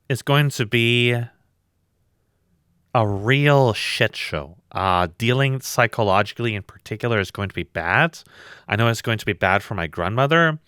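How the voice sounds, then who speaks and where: A tired man with a loud voice, co-hosting a podcast in a blunt, rambling, swearing chat. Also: fairly low pitch, smooth, dry recording, clean studio sound. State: very tired from lack of sleep. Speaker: podcast co-host.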